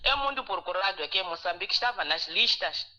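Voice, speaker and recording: A woman's voice: lowish voice, animated, fast talker, bright, forceful tone. A woman caller on a live phone-in show who is not a native Portuguese speaker, arguing her point insistently and repeating herself. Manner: insistent, repetitive. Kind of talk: phone-in call. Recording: muffled phone line.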